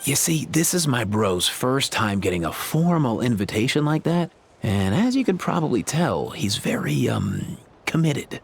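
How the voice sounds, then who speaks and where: A man playing an easygoing, upbeat young bro in a video game, with a breezy, slangy, slightly husky delivery. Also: medium pitch, big pitch swings, measured pace, slight background noise. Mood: sheepish, friendly. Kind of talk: animated video game acting. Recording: faint game music under the voice.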